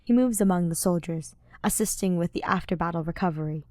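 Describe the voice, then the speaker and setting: A woman's voice: lowish voice, fairly quick, a little mellow, no room sound, clean studio sound. A woman reading fiction aloud as a scripted audiobook narrator. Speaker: podfic narrator.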